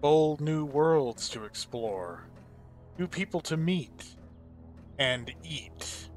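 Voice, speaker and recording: Escaped video game creature played by a man, gloating and ravenous, slow menacing delivery, faint soundtrack underneath. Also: fairly high pitch, animated, faint music behind. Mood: gloating, hungry. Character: hungry, gloating escaped monster savoring its freedom.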